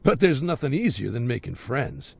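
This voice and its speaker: An actor playing a jovial but menacing small-town crime boss in a game cutscene, rambling with folksy sarcasm and rhetorical questions. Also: male voice, mid-range voice, big pitch swings, normal speed, vocal fry, darkish tone, no echo. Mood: musing, sardonic. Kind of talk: video game cutscene acting.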